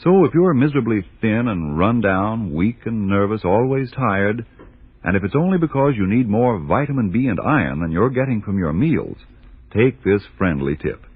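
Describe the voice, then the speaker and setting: Male vintage radio ad announcer, lowish raspy voice, folksy sales manner, thin phone-like broadcast sound. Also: big pitch swings, moderate pace, no echo. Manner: folksy, persuasive. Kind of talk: 1940s radio advertising pitch.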